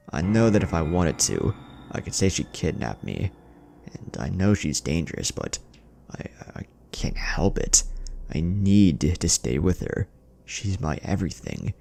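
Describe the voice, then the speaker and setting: A man narrating a first-person horror story as a haunted, lovestruck teenage boy, brooding and halting with dramatic pauses. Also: deep voice, creaky, darkish tone, a little hiss or hum. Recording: music behind the voice.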